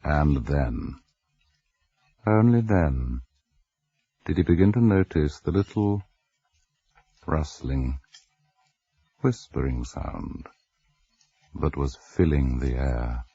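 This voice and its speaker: A grave ghost-story narrator with an extremely deep, husky voice. His phrasing is measured and suspenseful, with lively swings in pitch. The recording is dry and a little dull. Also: no echo, exaggerated voice. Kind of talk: dramatic scripted narration.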